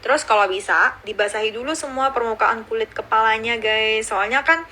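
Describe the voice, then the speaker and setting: A woman in her thirties who grew up in Indonesia, chatting casually on a phone livestream and answering viewer comments, cheerful and quick to laugh. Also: on the high side, bright, forceful tone. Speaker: livestreaming YouTuber. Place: outdoors or at home in Seoul.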